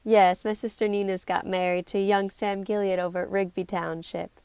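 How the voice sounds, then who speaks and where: A woman's voice: mid-range voice, level tone, normal speed, a little mellow, clear, resonant tone, no room sound, close to an everyday voice. A woman with an American accent playing a gossipy, sociable small-town woman in an amateur play. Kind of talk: amateur stage-play acting.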